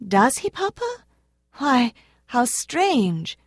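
A woman voicing a sweet, earnest little girl who speaks in a soft, pleading way. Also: medium pitch, normal speed, dry recording, studio quality, voice pushed up, close to an everyday voice. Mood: astonished.

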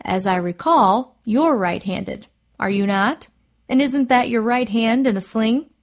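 Country doctor, male, wry and stubborn, quick to protest read by a female audiobook narrator. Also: mid-range voice, darkish tone, lightly acted. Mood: wry retort.

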